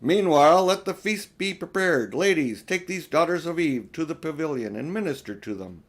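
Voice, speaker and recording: A majestic storybook lion, performed by a man reading aloud. He is solemn and royal, speaking in deliberate, stately proclamations with natural authority. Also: fairly high pitch, lively intonation, voice pushed up. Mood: commanding. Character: great royal lion king, solemn and commanding.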